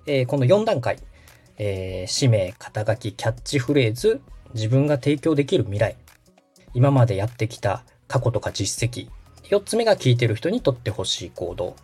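Lively, husky man hosting a podcast. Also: mid-range voice, moderate pace. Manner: polite, step-by-step instruction. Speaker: podcast host. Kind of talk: explanatory how-to talk. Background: background music.

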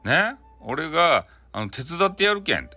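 A man's voice: fairly high pitch, big pitch swings, unhurried, pushed and bright, soft background music, voice pushed up, full character voice. A man voicing a wicked, cocky tanuki raccoon dog in a folktale, brash and taunting, with a Hakata accent. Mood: coaxing. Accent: Hakata, Japan.

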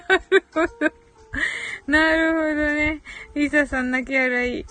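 A woman's voice: high voice, slow pace, pushed and bright, soft background music. A woman hosting a casual live audio chat, giggly and chatty, reading out comments and murmuring agreement. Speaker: host of a live audio stream.

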